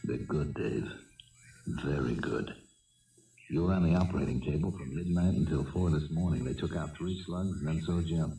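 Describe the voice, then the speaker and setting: An actor playing a reassuring police lieutenant in a 1970s radio drama, measured and matter-of-fact. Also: male voice, very deep voice, mellow, roomy sound, recorded from a distance, poor audio.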